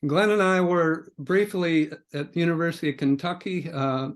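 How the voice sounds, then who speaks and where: A man with an American accent hosting an interview podcast in a halting, informal, conversational way. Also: on the high side, fairly even intonation. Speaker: podcast host.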